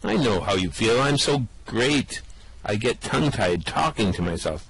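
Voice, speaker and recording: A man's voice: on the low side, expressive, moderate pace, a bit bright, slightly rough, exaggerated voice, rough recording. A man voicing a boastful two-headed space adventurer in an amateur radio play reading, swaggering and groaning through a hangover.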